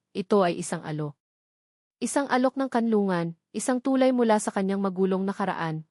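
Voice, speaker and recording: A woman reading a scripted dramatic story in a measured, earnest way. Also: medium pitch, darkish tone, no echo, clean studio sound. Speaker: story narrator.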